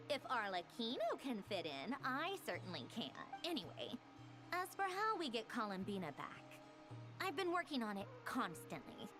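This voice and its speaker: Lively, proud young researcher voiced by woman. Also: mid-range voice, lightly acted. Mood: impatient, self-assured. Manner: matter-of-fact, slightly impatient.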